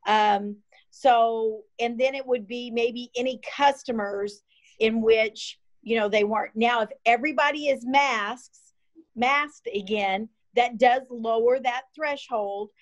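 A woman's voice: on the high side, takes it slowly. A woman from the health department explaining contact tracing and staff screening over a video call. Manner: practical, explanatory.

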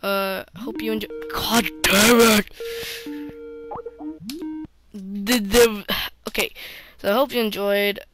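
A woman's voice: medium pitch, fairly even intonation, slow, long pauses. A woman hosting a game playthrough, rambling and often dropping to a mumble. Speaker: let's play host. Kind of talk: gameplay commentary.

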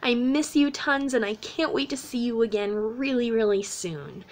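A woman's voice: fairly high pitch, moderate pace. A woman in her thirties, a children's music teacher, explaining things simply and animatedly for young children in a story time and sing-along. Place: small room at home, indoors.

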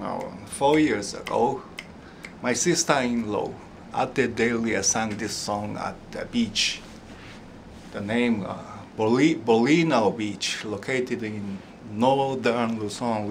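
A middle-aged man speaking slow, halting English with fillers and restarts, introducing a song from his living room at home. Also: medium pitch, a little hiss or hum. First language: not Tagalog. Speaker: ukulele vlogger. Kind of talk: casual spoken intro to a song. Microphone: camera in front at close range. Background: ukulele playing.

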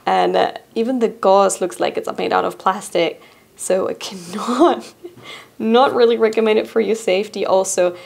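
Bubbly young woman with gravelly fry. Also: medium pitch, normal speed. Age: young adult. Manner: bubbly and giggly, drops to a soft relaxed tone. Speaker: host presenting shop items. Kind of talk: casual product review to camera. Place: small indoor studio room. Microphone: boom-arm studio mic in front.

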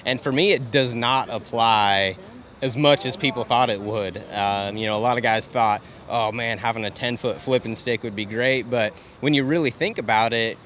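A male professional angler answering interview questions outdoors in a measured, explanatory way. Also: mid-range voice, a bit bright. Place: outdoors by boats. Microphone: handheld mic held by interviewer. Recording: faint outdoor noise.

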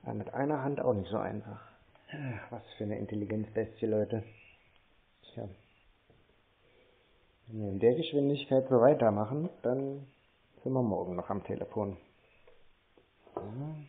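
A man's voice: lowish voice, moderate pace, long pauses, phone-quality sound. A man in casual phone talk with a laconic, muttering way of speaking. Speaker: scam-baiter posing as a customer on a phone call.

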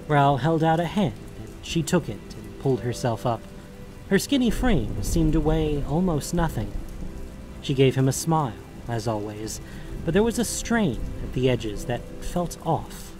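A man's voice: fairly high pitch, animated, unhurried. Man narrating fantasy fiction over music. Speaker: narrator and podcast host. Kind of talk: scripted narration of a fantasy audio drama.

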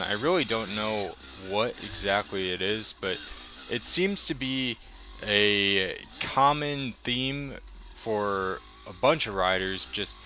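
A young man talking casually over his riding footage as a motovlogger, relaxed and enthusiastic, a little rambling. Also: lowish voice, slight background noise.

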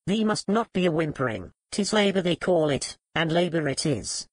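A woman's voice: on the low side, expressive, moderate pace, slightly rough, no room sound. A kindly, no-nonsense country housekeeper , as a woman narrator puts her on for a historical audiobook. She is folksy and encouraging in old dialect. The sound is close and dry. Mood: firm, reassuring. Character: brisk, kindly rustic housekeeper woman, reassuring.

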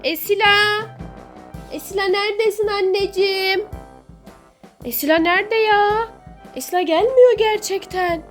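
A woman with a very high-pitched voice acts as a mother in a children's pretend-play skit. She is exclamatory and theatrical, often repeating herself, with music playing behind her. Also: fairly even intonation, unhurried, pauses often.

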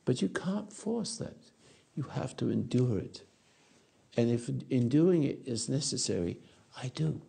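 A man in his eighties giving a rambling philosophical talk to an audience on a lapel mic, halting and repeating his words. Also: mid-range voice, sing-song, gravelly, dark tone, husky. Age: 80s. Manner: gestures with hands while talking. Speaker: speaker answering audience. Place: dark stage or studio.

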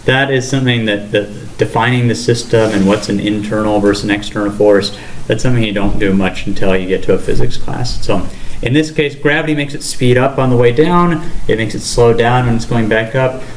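A young male teacher talks a class through a physics lesson at a whiteboard, asking questions as he goes. His voice sits at an ordinary pitch and rings around a bare classroom, picked up by a camera across the room with a faint hiss underneath. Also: fairly quick. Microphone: far camera across the room. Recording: echoey classroom, faint noise.

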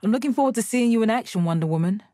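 An actress voicing a calm, stoic alien warrior woman in a video game, with measured, deadpan, formal phrasing and a confident, kind temper. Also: on the high side, dry recording, studio quality. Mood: assured. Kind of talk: video game character voice lines.

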